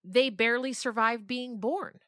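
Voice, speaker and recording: Woman, bright forceful voice, emphatic conversational storyteller, interviewed novelist, very dry close sound. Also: on the high side, a little slow, dry recording. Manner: emphatic, often checks in with "right?".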